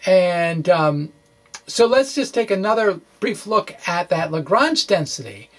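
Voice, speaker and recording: A man presenting physics in an informal lecture style, with halting delivery, false starts and folksy, playful phrasing. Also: high-pitched, very animated, a bit bright. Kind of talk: informal lecture walkthrough of equations.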